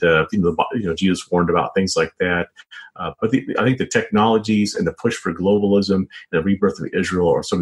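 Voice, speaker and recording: Low-pitched man explaining as interview guest. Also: poor audio. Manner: halting, repeats words, frequent "you know". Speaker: interview guest, author and journalist.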